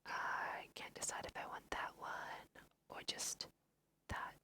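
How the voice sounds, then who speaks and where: A woman's voice: slow pace, sharp tone. A woman whispering a casual, musing ASMR let's play, talking to her viewers as she goes. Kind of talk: whispered ASMR game commentary.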